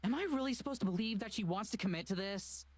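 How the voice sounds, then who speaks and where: A woman's voice: medium pitch, quick pace, dry recording, close to an everyday voice. A woman voicing an emotional, awkward and defensive young woman in a visual novel game. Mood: frustrated, hurt.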